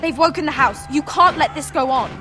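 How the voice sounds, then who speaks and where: Very high-voiced, urgent young girl. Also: brisk, bright, forceful tone. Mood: alarmed. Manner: urgent, indignant. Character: alarmed young girl of a noble household. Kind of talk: video game drama acting. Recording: prominent music behind.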